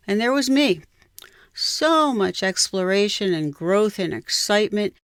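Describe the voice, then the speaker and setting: A woman's voice: lowish voice, clear, resonant tone, no echo, studio quality. A woman in her sixties with an American accent, reading her own memoir as scripted narration. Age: 60s.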